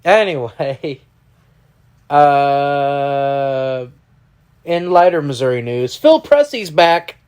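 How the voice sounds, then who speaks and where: A man's voice: medium pitch, drawn-out words, clear, resonant tone. A man, co-hosting a casual sports podcast and exclaiming often. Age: 30s. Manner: excitable, exclaiming. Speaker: podcast co-host.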